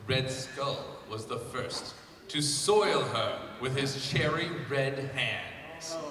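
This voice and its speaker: A man's voice: medium pitch, takes it slowly, crowd noise. Man reading aloud in a very echoey hall. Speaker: reader at a fan convention panel. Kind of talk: reading fan fiction aloud. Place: large convention panel room. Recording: echoey hall, distant mic.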